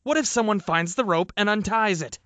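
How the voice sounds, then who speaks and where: A man's voice: high voice, lively intonation, a little fast, a bit bright, no room sound. A man playing a cautious, argumentative politician on the run, emphatic and questioning, in an audio drama. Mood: worried, irritated.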